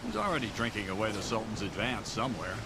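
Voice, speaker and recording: Grumbling game hunter in loud wind. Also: male voice, fairly low pitch, sing-song, fast. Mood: sardonic grumbling. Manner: blunt, sardonic. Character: gruff, grumbling male hunter, cynical and excitable. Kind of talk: video game voice acting. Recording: loud windy outdoor ambience over the voice.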